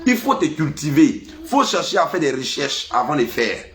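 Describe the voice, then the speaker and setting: A man's voice: normal speed, a crowd in the background. Ivorian man scolding with a stuffy cold. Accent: Ivorian French. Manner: lively, scolding, animated pitch jumps. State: has a cold. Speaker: vlogger. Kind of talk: casual monologue to camera.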